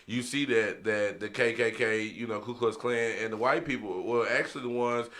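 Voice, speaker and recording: A man's voice: medium pitch, normal speed, a bit bright. A man hosting a casual round-table podcast, speaking reflectively and putting questions to the others. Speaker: podcast host.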